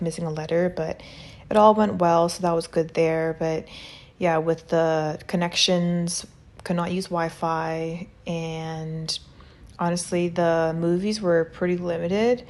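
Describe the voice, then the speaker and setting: Low-pitched, creaky-voiced woman vlogger. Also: a little mellow. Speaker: travel vlogger. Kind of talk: casual vlog voice-over reviewing an experience.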